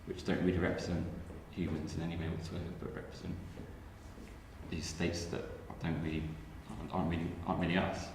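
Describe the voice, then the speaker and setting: Low male voice, monotone and quick, panel explainer; echoey hall. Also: vocal fry, background noise. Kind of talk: academic panel discussion. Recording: roomy hall with long reverb.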